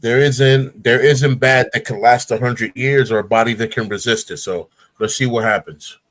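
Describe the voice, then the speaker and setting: A man's voice: medium pitch, steady tone, moderate pace, a bit bright, no echo. A man in his forties, a guest on a casual talk show, telling stories with energy and acting out grunts and voices. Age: 40s.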